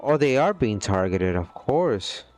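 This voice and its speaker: Earnest low-voiced man rambling. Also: sing-song, measured pace, darkish tone, faint music behind. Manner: earnest and rambling, frequent "you know what I mean". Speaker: gaming livestreamer. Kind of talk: casual chat with viewers on a livestream.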